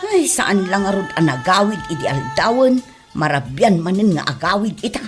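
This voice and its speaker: A woman's voice: lowish voice, big pitch swings, normal speed, over music. A woman playing a grumpy mother who complains and nags in exasperation, in an Ilocano comedy drama. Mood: irritated. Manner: exasperated, nagging, swooping. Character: grumpy, complaining mother irritated by noisy neighbours.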